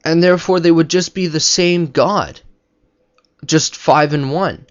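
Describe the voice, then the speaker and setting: A man's voice: fairly high pitch, unhurried, smooth, muffled recording. A man giving an unscripted spoken commentary on religion in a didactic, argumentative manner.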